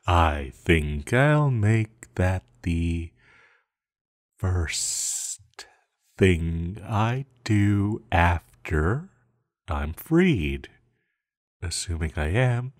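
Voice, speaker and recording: An ancient male villain played by a man speaks very low and very slowly, as if in an intimate audio roleplay. He is seductive and possessive, teasing as he schemes, with sighs and lingering pauses. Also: a little mellow, exaggerated voice. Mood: playful, coaxing.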